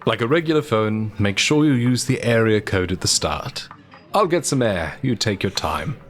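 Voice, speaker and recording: An actor playing a suave, self-assured, teasing man in an audio drama, talking in a wry, drawling, smooth way. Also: lowish voice, animated, moderate pace, fairly bright tone, faint music behind. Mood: breezy, courteous.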